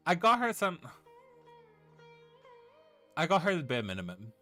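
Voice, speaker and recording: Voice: medium pitch, wildly swooping pitch, measured pace, a bit bright. A streamer giving casual, giggly commentary while playing a game, with music playing behind. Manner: giggly, often laughing.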